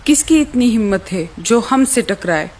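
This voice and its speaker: A woman's voice: a little high, animated, normal speed, a little mellow. A woman voicing a bullying male hunter hawk who threatens in rustic dialect, in a children's cartoon story. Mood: boastful.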